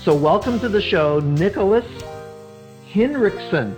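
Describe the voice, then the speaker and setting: A man's voice: sits high, expressive, measured pace, a little mellow, soft background music. A man hosting a podcast interview, rambling with frequent fillers and restarts. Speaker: podcast host.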